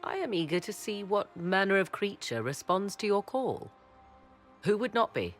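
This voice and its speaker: A woman's voice: medium pitch, big pitch swings, normal speed, faint music behind. A woman playing a proud, enigmatic sorceress in a fantasy video game, speaking with arch, sardonic formality.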